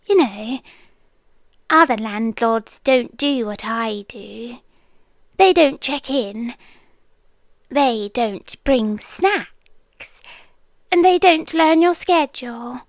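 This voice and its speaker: A British woman playing a possessive, doting and controlling yandere landlady in an intimate ASMR roleplay, breathy and coaxing, often dropping to a whisper. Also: a little high, expressive, extremely slow, pauses often, no room sound, exaggerated voice. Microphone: close ASMR microphone, dry.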